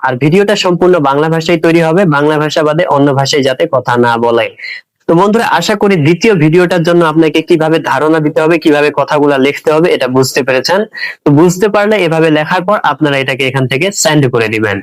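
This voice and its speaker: A man's voice: a little high, expressive, fast, no echo. A man hosting a tech tutorial, narrating step by step and addressing viewers directly as friends.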